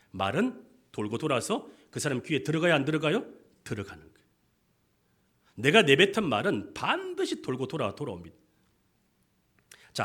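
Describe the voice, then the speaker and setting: Male pastor, sermon, impassioned, call-and-response, animated pitch, slightly high voice. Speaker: senior pastor.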